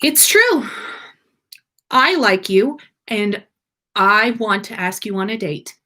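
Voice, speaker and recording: An earnest, lovestruck man, voiced by a woman reading aloud, slow and hesitant. He pleads nervously, in a storybook read-aloud style. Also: medium pitch, lively intonation, bright, forceful tone, full character voice.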